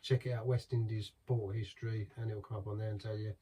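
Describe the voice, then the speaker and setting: A man's voice: on the low side, flat delivery, quick pace, dark tone, breathy edge. A middle-aged man chatting casually through a beer review, rambling and restarting as he talks. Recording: voice from some distance from the mic.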